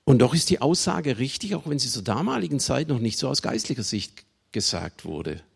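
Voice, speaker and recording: Male preacher from Germany: creaky voice, swooping pitch, emphatic phrasing with pauses, speaking to a congregation. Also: sing-song. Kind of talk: sermon to a congregation.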